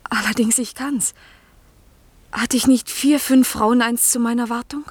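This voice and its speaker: An actress in a German radio play, playing a magician's tender young daughter with a breathy, earnest delivery. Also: female voice, a little high, fairly even intonation, unhurried, no room sound.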